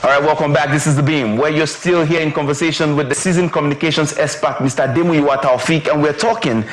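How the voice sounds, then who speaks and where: A man from Nigeria hosting a studio TV interview, making formal segment links and asking questions in a measured way. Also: on the high side, steady tone. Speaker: TV talk show host.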